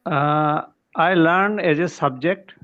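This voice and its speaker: Slow-spoken man on a muffled call. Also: fairly high pitch, fairly bright tone. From India. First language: regional Indian language. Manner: slow, halting, with many uhs and short agreements. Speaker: English learner. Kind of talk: casual lesson conversation. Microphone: video call. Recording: dull lo-fi call audio.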